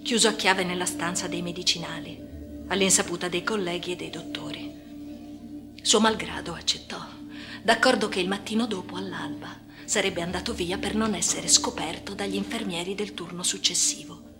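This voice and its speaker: An actress playing a troubled, defiant young woman in a radio monologue, recounting her life with an intense delivery that moves between hushed and agitated. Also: lowish voice, fairly even intonation, moderate pace, natural voice. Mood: tense, brooding. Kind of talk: dramatic first-person radio monologue. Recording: music under the voice. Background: music.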